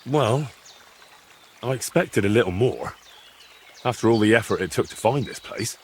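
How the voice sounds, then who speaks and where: A man playing an expressive, plain-spoken medieval young man. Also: fairly low pitch, normal speed, slight background noise, lightly acted. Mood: curious. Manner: hesitant, frank, good-natured teasing. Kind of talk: dramatic dialogue in a cinematic historical game.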